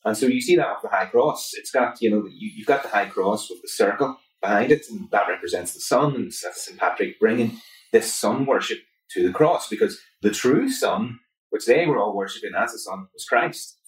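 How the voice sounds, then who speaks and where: A man's voice: lowish voice, lively intonation, normal speed. A man from Northern Ireland with a Northern Irish accent, a guest academic answering interview questions in an earnest, explanatory way. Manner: earnest, explanatory, builds points steadily. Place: conference venue. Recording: roomy, from far off mic.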